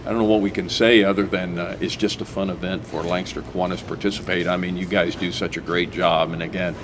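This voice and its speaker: A man's voice: low-pitched, level tone, fast talker, noisy background. A man hosting a casual local interview, rambling a little with frequent "uh"s and restarts. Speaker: host.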